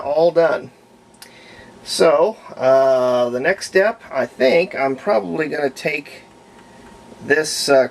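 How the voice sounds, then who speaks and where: Gravelly-voiced man giving a folksy repair demo. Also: medium pitch. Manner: folksy, unscripted, narrating while working. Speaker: hobbyist radio restorer presenting a repair. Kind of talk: casual hands-on repair demonstration. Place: indoor workbench.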